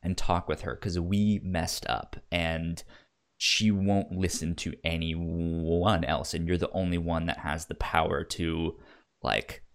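A man's voice: deep voice, steady tone, slow. A man co-hosting a casual film discussion, stuttering often as he repeats sounds and words. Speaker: podcast co-host discussing films.

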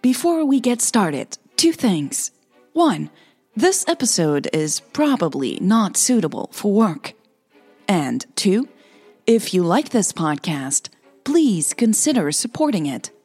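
Husky dutch woman reading a podcast story, slow n low, faint music under. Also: big pitch swings, darkish tone, no room sound. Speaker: podcast host and narrator. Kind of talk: scripted audio story narration.

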